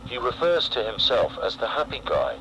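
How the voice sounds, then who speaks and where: A man playing a calm official emergency announcer, reading a public broadcast in a clinical, formal way. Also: medium pitch, normal speed, muffled recording, noisy background. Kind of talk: scripted public broadcast narration.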